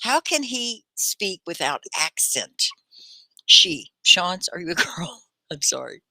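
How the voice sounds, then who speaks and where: A woman's voice: on the low side, sing-song, takes it slowly, gravelly, sharp tone, no echo, low-quality recording. A middle-aged woman from Texas hosting a live online English lesson, explaining with animation and laughing often. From Texas, USA. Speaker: ESL teacher and show host.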